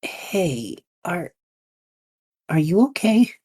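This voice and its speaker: A woman voices a supportive young man out of his depth in an audio drama. The voice is low, mellow and a little husky. He talks casually and hesitantly, with wry humor. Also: sing-song, drawn-out words, soft background music, exaggerated voice. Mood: concerned. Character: bewildered, supportive young man lost in another world.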